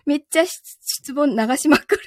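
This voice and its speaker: A woman's voice: sits high, moderate pace, slight background noise. A woman chatting casually on a live stream in a playful, bantering way, close to a studio microphone in a recording booth. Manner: playful, frequently laughing banter. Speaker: voice performer hosting a live stream. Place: small home recording booth.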